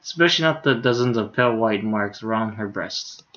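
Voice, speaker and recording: A man's voice: on the low side, fairly quick, a bit bright, lo-fi sound. A man reading a visual novel aloud on a livestream in a casual, unpolished way. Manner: casual, stumbles over words, swears in asides. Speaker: game streamer.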